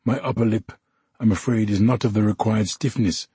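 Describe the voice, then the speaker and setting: A man's voice: fairly low pitch, fairly even intonation, normal speed, dark tone, husky, no echo. A man voicing an embittered, drunk one-handed soldier who talks in a formal, officious way and growls. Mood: despairing. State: drunk and unsteady.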